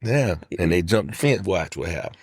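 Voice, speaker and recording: Teasing American man with a lowish voice. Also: very animated, brisk, breathy edge, no echo, studio quality. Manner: colloquial, teasing. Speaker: podcast co-host. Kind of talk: casual banter with his wife.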